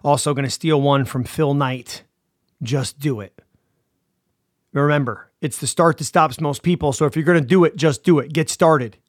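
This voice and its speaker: A man's voice: fairly high pitch, normal speed, no room sound, studio quality. A man giving a motivational talk as a mental performance coach, with a punchy, emphatic delivery full of slogans.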